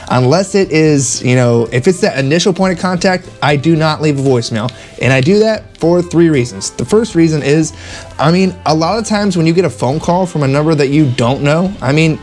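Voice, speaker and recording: A man's voice: a little high, a little mellow. A man giving casual, instructional sales tips in a conversational, emphatic way, repeating phrases to drive them home. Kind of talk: casual instructional vlog monologue.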